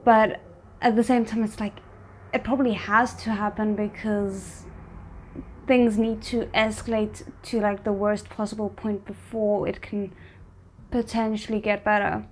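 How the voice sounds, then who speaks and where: A woman's voice: mid-range voice, flat delivery, unhurried, a little mellow, a little hiss or hum. A woman hosting a reaction video, talking casually and thinking aloud as she comments.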